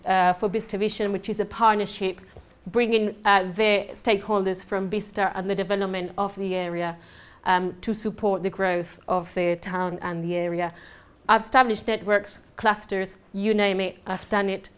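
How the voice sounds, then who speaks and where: A woman from southern Spain with a Spanish accent, giving a fast, chatty, joking networking presentation to a room. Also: medium pitch, smooth. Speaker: networking speaker. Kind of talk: lively conference presentation. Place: conference room. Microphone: mic at a talk.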